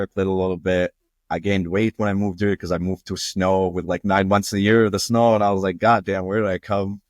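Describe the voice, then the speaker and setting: A man's voice: low-pitched, no echo, studio quality. A young man from Jordan, a music producer guest, earnestly walking through his process in a casual interview.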